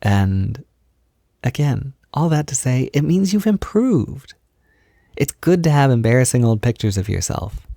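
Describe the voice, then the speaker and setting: A man playing a gentle, affectionate boyfriend in an intimate roleplay audio, speaking softly and soothingly, with unhurried reassurance. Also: medium pitch, big pitch swings, gravelly, no echo, studio quality, full character voice. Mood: encouraging, reassuring. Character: gentle, affectionate boyfriend comforting his insecure partner. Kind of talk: intimate roleplay audio, soft-spoken ASMR.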